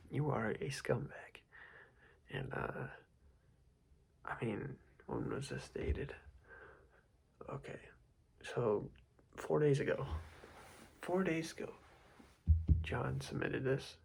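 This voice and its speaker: A man's voice: on the high side, heavily acted. A man voicing a laid-back, rambling young male office boss in a whispered ASMR roleplay, close to the mic, with a casual, repetitive way of talking. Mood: exasperated. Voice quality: whispered, breathy. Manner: casual, rambling, repeats himself.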